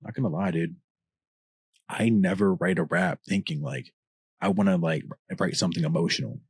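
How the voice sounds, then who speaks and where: A man with a deep voice, a rapper talking as a podcast guest, passionate, swearing often and rambling in long answers. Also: sing-song, normal speed, vocal fry, darkish tone, husky, no echo, studio quality. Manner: passionate, profane, long-winded. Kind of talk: casual candid chat.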